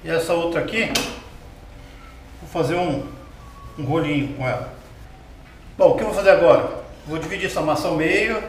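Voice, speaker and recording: A man with a Brazilian Portuguese accent hosting a cooking show, explaining each step in a relaxed, chatty way and asking viewers questions as he works. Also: a little high, normal speed, pauses often, a little hiss or hum. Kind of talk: casual cooking demonstration. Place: home kitchen with tiled wall, indoors. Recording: roomy kitchen sound from a distance.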